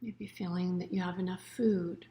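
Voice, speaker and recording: Woman softly guiding a relaxation meditation. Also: lowish voice, steady tone. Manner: soothing, unhurried, with soft breathy phrase endings. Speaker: yoga nidra meditation teacher.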